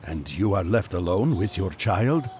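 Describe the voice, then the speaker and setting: An actor playing an earnest, courteous ancient magus on a quest, speaking formally in an audio drama. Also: male voice, deep voice, expressive, a little slow, noisy background. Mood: gentle.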